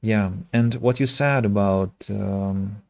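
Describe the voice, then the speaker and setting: A man from Russia with a Russian accent chats casually with viewers as a game streamer, speaking reflectively and pausing mid-sentence. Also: on the low side, vocal fry, darkish tone, no room sound. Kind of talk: casual livestream chat with viewers.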